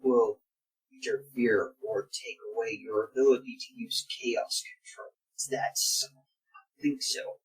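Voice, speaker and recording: A man's voice: medium pitch, very animated, measured pace, slightly rough, low-quality recording. A man co-hosting a casual read-along, reading fan fiction lines aloud dramatically.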